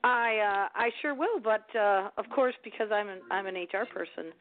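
A slightly hoarse woman co-hosting a live show, chatting playfully and joking about herself. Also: medium pitch, normal speed. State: a bit hoarse after travel. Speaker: podcast co-host, HR professional. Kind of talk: casual chat. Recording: phone-like call line.

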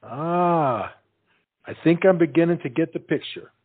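An actor playing a bashful, slow-witted young soldier in love, halting and earnest, in a stage comedy. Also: male voice, a little high, phone-quality sound. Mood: puzzled.

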